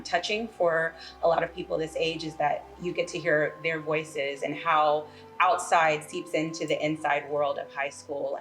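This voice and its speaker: A woman's voice: low-pitched, a bit bright, low-quality recording. A woman giving a thoughtful interview answer as a playwright.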